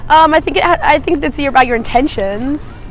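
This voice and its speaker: A young woman co-hosting a casual podcast chat, deadpan and crude in her jokes and quick to laugh. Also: high-pitched, soft background music. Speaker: podcast co-host.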